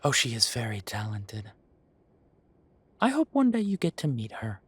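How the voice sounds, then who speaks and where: An actor playing a kindly café owner in a fantasy town, talking to the listener in an unhurried, courteous, slightly formal way in a roleplay audio. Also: male voice, medium pitch, wildly swooping pitch, a bit bright, breathy edge, no room sound, exaggerated voice. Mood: warm, reassuring.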